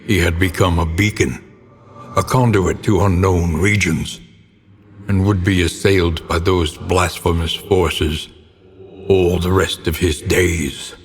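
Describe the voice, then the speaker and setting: An actor playing a grave, ominous narrator of gothic horror tales in a video game, with a solemn, theatrical and deliberate delivery. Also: male voice, deep voice, fairly even intonation, husky, heavily acted. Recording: long cavernous reverb, noticeable background noise.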